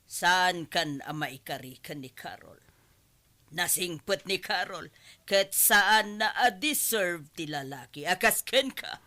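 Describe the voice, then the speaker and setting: Actress as a bright-voiced, indignant scolding mother. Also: lowish voice, lively intonation, slow pace, full character voice. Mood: disappointed, scolding. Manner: scolding, demanding answers. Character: indignant, protective middle-aged mother of a groom. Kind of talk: Ilocano radio drama acting.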